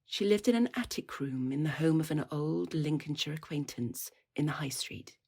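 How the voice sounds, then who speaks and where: A woman playing a reflective older storyteller in an audio drama, narrating her past in measured, old-fashioned language. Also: sits low, animated, creaky, darkish tone, breathy edge, heavily acted. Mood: wistful, reflective. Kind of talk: literary first-person narration.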